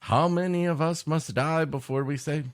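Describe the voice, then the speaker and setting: A man co-hosting a podcast with improvised comic banter in a halting, mock-earnest, repetitive way. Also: fairly high pitch. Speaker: podcast co-host.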